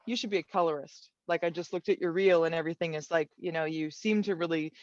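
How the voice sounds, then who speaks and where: A woman colorist on an online panel, telling her career story in a relaxed, thoughtful way with many fillers and restarts. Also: low-pitched, fast talker, dry recording, highs cut off. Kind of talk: casual panel talk.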